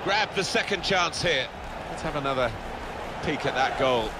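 A man's voice: a little high, animated, moderate pace, close to an everyday voice. A man playing a veteran football match commentator, calling play-by-play in a video game over stadium noise. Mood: measured, approving. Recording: loud stadium crowd noise behind voice. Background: stadium ambience in a video game.

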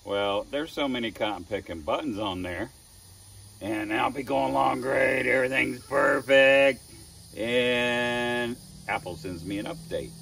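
A man's voice: mid-range voice, unhurried, gravelly, a bit bright, breathy edge, far from the mic. A man in his sixties, a wood carver, telling folksy stories to a phone camera in an outdoor wood yard, chuckling at himself. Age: 60s. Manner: folksy, chuckling, self-deprecating storyteller. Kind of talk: casual talk to camera. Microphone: phone camera at a distance. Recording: voice slightly off-mic, faint outdoor noise.

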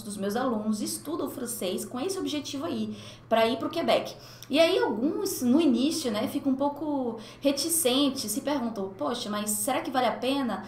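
A woman's voice: fairly high pitch, roomy sound. A young woman teaching French in a livestream, talking animatedly and mixing two languages, on a headset mic in a small room at home. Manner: animated, gestures a lot, mixes two languages. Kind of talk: livestream lesson, casual and expressive.

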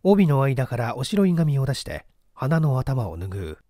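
A man's voice: medium pitch, very animated, a little slow, mellow, no room sound, studio quality. A man reading a literary story as a dramatic scripted narration. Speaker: audiobook narrator, stage performer.

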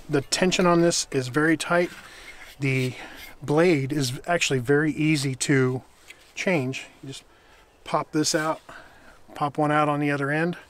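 A middle-aged man casually reviewing camping gear outdoors in a winter forest, explaining in a relaxed, rambling way that corrects itself as he goes. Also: a little high, slight background noise.

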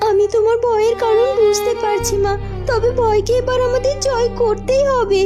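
A woman's voice: very high voice, steady tone, moderate pace, loud music behind the voice. A woman voicing a brave, determined young daughter in a fairy-tale cartoon, speaking firmly and earnestly. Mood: reassuring, resolute. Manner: firm, earnest, commanding.

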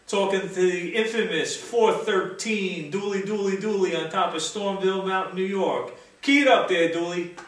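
Man, high voice, casual hobby demo, very echoey, far from the microphone. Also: steady tone, moderate pace. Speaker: CB radio hobbyist presenting his video. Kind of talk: casual demonstration to camera. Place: small bare wooden garage. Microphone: distant camera, handheld CB mic in hand. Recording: very echoey, distant camera audio.

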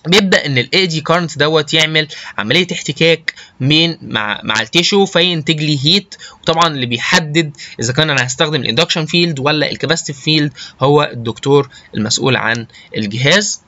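A man with an Egyptian Arabic accent giving an informal technical lecture, halting and often checking that listeners follow. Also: fairly high pitch, animated, sharp tone, muffled recording. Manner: halting, often checks understanding with short tag questions. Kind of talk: informal slide lecture with technical English terms.

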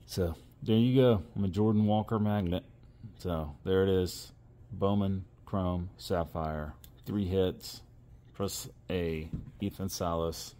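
A man's voice: low-pitched, steady tone, slow, mellow. A man hosting a casual video, giving running commentary as trading cards are opened. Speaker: host of a card-opening channel.

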